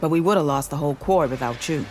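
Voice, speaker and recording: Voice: medium pitch, fast, slight background noise, natural voice. A performer playing a plainspoken, grateful frontier quarry worker in a video game, with a folksy way of talking. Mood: grateful, weary. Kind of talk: video game dialogue acting.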